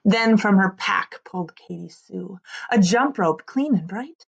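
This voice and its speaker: A woman in her thirties reading a children's story aloud like a teacher, expressively, with dramatic drawn-out emphasis on key words. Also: mid-range voice, expressive, creaky, husky, lo-fi sound. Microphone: computer mic on a screen-share video recording.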